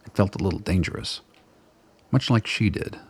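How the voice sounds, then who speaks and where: A man narrating a radio play in a measured, dramatic, literary storytelling style. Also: deep voice, darkish tone, husky.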